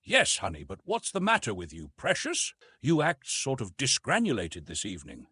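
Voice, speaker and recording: A man voicing an excitable, fretful maidservant in an audiobook, exclamatory, folksy and coddling. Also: mid-range voice, moderate pace, slightly rough, no echo, studio quality, heavily acted. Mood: soothing. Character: excitable, fretful, fussing maidservant who mangles big words.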